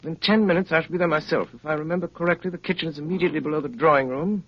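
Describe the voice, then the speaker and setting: An actor playing a brilliant, self-assured English detective in an urbane, deliberate, theatrical 1930s radio style. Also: male voice, fairly high pitch, close to an everyday voice. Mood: brisk, commanding. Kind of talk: 1930s radio drama acting. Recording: dull, noisy 1930s radio recording.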